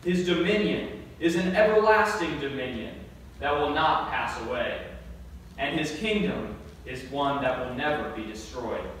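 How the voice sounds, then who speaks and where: A man's voice: medium pitch, expressive, fairly bright tone. A young man, a vicar, reading scripture formally and phrase by phrase in a church. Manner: measured phrase-by-phrase delivery. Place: church sanctuary at a lectern. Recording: echoey church from far off, faint noise.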